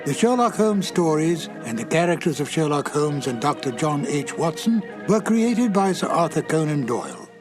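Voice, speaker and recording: Higher-pitched male announcer over music. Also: big pitch swings, moderate pace, few pauses. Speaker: announcer reading credits and copyright notice. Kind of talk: formal scripted narration.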